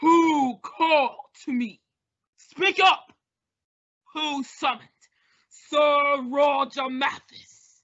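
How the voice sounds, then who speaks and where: A woman's voice: high-pitched, fairly even intonation, drawn-out words, long pauses, a bit bright, heavily acted. A woman playing the haughty, vengeful ghost of an old knight in a radio mystery, declaiming in archaic, menacing style. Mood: angry challenge. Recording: dull lo-fi radio play recording.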